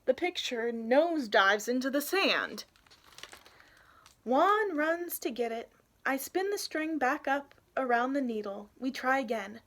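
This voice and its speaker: A young adult woman reading a picture book aloud expressively, in an animated way, for young listeners. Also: sits high. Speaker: read-aloud storyteller, youth intern.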